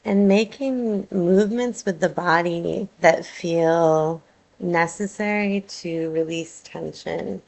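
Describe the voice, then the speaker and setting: A woman teaching yoga nidra, guiding a meditation in a calm, soothing way with measured phrases and pauses. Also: lowish voice, gravelly. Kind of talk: guided meditation, soothing instruction.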